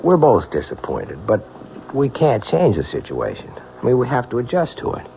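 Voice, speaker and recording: A man playing an earnest, conciliatory husband in an old-time radio drama, speaking a little haltingly. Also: medium pitch, wildly swooping pitch, vocal fry. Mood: earnest, apologetic. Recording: muffled, hissy vintage radio recording.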